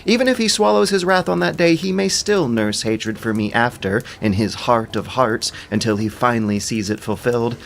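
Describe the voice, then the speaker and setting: A wary, wise seer acted by a man for an epic audio drama. He declaims solemnly and anxiously, pitched clearly higher than the performer's narrating voice, over faint ambience. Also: normal speed, hardly any pauses, a little hiss or hum, lightly acted. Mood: wary, pleading. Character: wary, wise seer fearing a powerful king.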